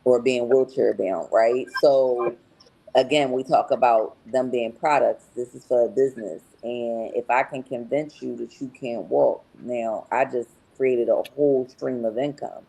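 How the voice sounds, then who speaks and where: Husky-voiced woman sharing a testimonial. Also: extremely low pitch, fairly even intonation, a little slow, mellow, rough recording. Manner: earnest, explanatory, many "um"s and "right?"s. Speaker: podcast guest, patient's wife. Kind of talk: casual podcast testimonial.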